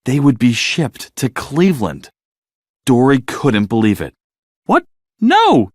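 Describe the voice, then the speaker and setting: A man reading a children's bedtime story as narrator, in an expressive, scripted storytelling style. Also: mid-range voice, a little slow, no room sound, clean studio sound.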